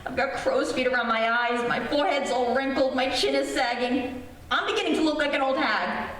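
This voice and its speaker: A woman's voice: sits high, steady tone, fairly quick, fairly bright tone. A woman playing a nagging, sleepless, self-pitying wife in old-time radio comedy style, exasperated and whiny. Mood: wounded, self-pitying. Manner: exasperated, whiny, needling complaints. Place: stage performance in a reverberant hall. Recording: very echoey hall from far off, faint noise.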